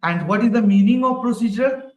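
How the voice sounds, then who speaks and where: A man's voice: high voice, fairly quick, darkish tone, lo-fi sound. A man teaching a live online programming class, asking the class questions over and over and ending his sentences with "right?". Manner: repeats questions to the class, ends lines with "right?". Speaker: programming instructor. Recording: echoey room, mic at a distance.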